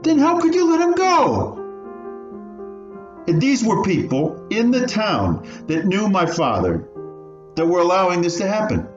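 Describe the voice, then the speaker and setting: A man playing an animated, pained old survivor. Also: high voice, a little slow, full character voice. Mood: anguished, accusing. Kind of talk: student radio play acting. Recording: dull, roomy recording with music.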